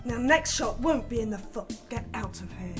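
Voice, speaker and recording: A woman's voice: a little high, animated, moderate pace, over music, close to an everyday voice. A woman playing a blunt, hard-boiled female mercenary leader in an audio drama. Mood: threatening. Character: tough, businesslike female mercenary gang leader.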